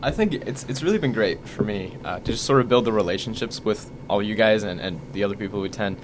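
A young man, a student, speaking haltingly with fillers in a group discussion. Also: on the low side, expressive. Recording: background noise.

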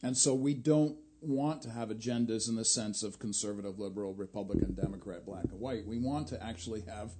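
A man's voice: lowish voice, a little fast, hardly any pauses, mellow, husky. A man hosting a church radio interview, steadily affirming his guest with murmured agreement. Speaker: radio show host.

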